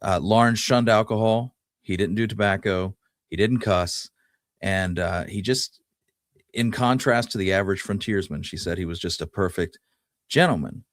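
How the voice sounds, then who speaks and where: A man's voice: lowish voice, normal speed, no room sound, clean studio sound. A man co-hosting a podcast, talking through history in a casual, laid-back way. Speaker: podcast co-host.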